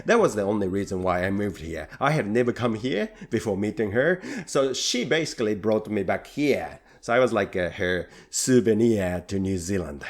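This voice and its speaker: Japanese-accented man with lively, swinging pitch. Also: moderate pace, hardly any pauses. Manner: earnest, reflective, lively pitch, gentle self-deprecating humour. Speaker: podcast host and rakugo performer. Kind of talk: solo podcast monologue.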